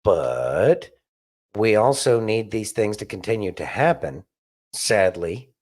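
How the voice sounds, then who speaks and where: A man's voice: on the low side, animated, slow pace, a little mellow. Emphatic man talking politics. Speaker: podcast co-host. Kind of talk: opinionated political talk.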